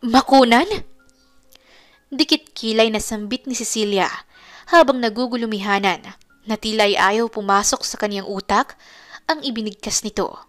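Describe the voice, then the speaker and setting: A woman's voice: mid-range voice, normal speed, fairly bright tone, soft background music. A woman giving a dramatic scripted narration of a romance story.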